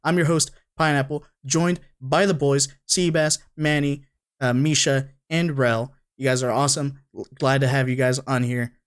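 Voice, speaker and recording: A man's voice: mid-range voice, steady tone, moderate pace, clean tone. A young man hosting a gaming podcast, upbeat and casual, with lots of fillers. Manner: upbeat, hype greetings, many fillers. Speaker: podcast host. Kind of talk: casual chat.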